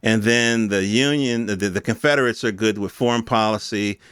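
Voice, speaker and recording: A man's voice: lowish voice, normal speed, a bit bright, clean tone, no room sound, studio quality. A man hosting a podcast, giving a casual, unscripted opinion rant in informal, slangy speech with some swearing and questions thrown at his listeners. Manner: rhetorical questions addressed to listeners. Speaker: podcast host.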